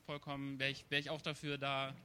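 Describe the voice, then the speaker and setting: A man speaking as a guest in a panel discussion, measured and making his case with restarted phrases. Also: medium pitch, completely flat delivery, bright, forceful tone, a little hiss or hum.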